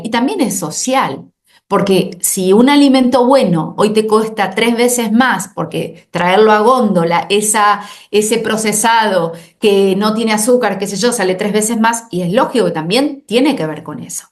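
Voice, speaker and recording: A woman's voice: medium pitch, moderate pace. Argentine woman doctor explaining earnestly. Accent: Argentine Spanish. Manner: explanatory, earnest, with frequent restarts and repeated words. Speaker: obesity specialist doctor, guest. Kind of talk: conversational podcast interview.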